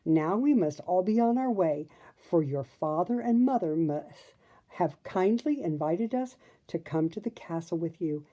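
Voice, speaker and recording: A woman voicing a fond, fussing female good fairy in a storybook, fluttery yet brisk. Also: lowish voice, expressive, dark tone, muffled recording. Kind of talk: storybook character voice.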